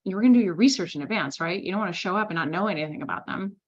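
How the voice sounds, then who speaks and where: A woman from Switzerland presenting a sales masterclass in a lively, conversational way, often asking "right?". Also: fairly low pitch, rapid-fire, highs cut off. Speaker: sales trainer.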